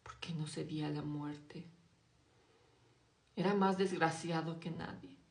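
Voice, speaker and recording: A woman reading a classic novel aloud in a slow, hushed, dramatic way, dropping her voice low on grim passages. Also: steady tone, echoey room. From Mexico. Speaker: audiobook reader.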